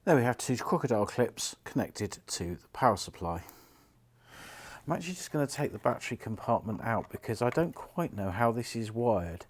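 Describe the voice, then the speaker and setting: A middle-aged British man giving an informal, unhurried hands-on commentary, explaining things step by step as he works. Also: mid-range voice, lively intonation. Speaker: presenter of a repair video, former radio engineer. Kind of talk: casual hands-on demonstration commentary.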